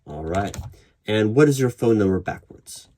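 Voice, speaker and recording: Male interviewer for ASMR roleplay: low pitch, measured questioning, typing and some background noise. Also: expressive, warm tone. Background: computer keyboard typing and clicks.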